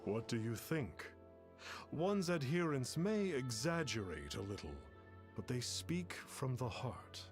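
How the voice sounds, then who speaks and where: An actor voicing a masked self-styled sage who speaks in a grandiose, archaic way. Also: male voice, medium pitch, big pitch swings, slow. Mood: lofty. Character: masked pompous fake sage, middle-aged man. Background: game music.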